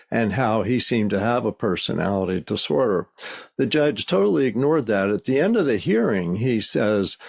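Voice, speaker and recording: A man's voice: mid-range voice, vocal fry, darkish tone. A man co-hosting a podcast, explaining as a legal expert in an emphatic, plain-spoken, conversational way.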